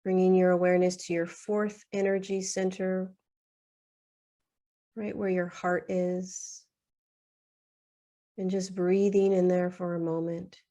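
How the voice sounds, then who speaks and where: A woman guides a meditation with a mellow, soft-edged tone. She speaks very slowly and soothingly, in short phrases with long pauses, and her pitch stays nearly flat.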